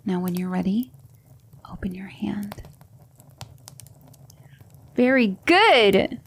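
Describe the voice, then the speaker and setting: Slow, gentle demon mentor played by a woman. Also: medium pitch, animated. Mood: firm, encouraging. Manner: slow, deliberate, coaxing instruction, often dropping to a whisper. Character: gentle female demon mentor teaching magic, patient, reassuring. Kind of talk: ASMR roleplay, soft scripted acting.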